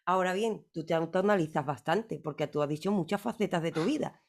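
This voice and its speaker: A woman's voice: lowish voice, fairly quick, darkish tone. A woman hosting an interview, chatty and rambling, with teasing remarks. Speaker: interviewer host. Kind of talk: casual interview talk.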